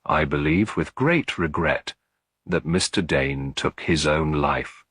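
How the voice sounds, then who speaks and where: A man voicing a calm, shrewd elderly lady detective in an audiobook, with a measured, gentle delivery. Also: rumbling low, breathy edge, no echo, studio quality, exaggerated voice. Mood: grave, explaining. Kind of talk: audiobook character dialogue.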